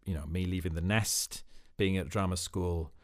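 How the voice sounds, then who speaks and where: Welsh actor guest, very deep voice, thoughtful and unhurried, young adult. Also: male voice, fairly even intonation. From Wales. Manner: thoughtful, reflective, with frequent fillers. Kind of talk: casual interview.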